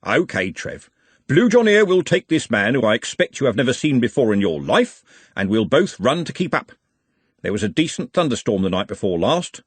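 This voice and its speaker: A patient middle-aged constable, as a male reader puts him on, speaking in an even, unhurried way that stays quietly firm. The voice has a slightly husky grain and sits in a dry, close recording. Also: mid-range voice, no room sound. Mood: calm.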